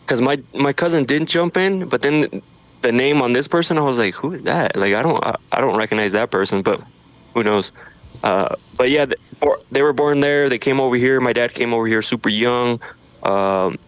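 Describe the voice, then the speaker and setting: A man from Idaho, a social media creator, talking in a relaxed way with halting restarts from his studio. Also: medium pitch, no room sound, low-quality recording. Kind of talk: casual interview chat.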